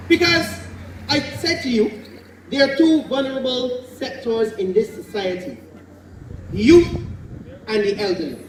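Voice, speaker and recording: A man's voice: very high voice, expressive, measured pace, pauses often, a little hiss or hum. A man with a Barbadian accent giving an impassioned, folksy political speech at an outdoor meeting. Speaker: political candidate. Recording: echoey, distant rally sound.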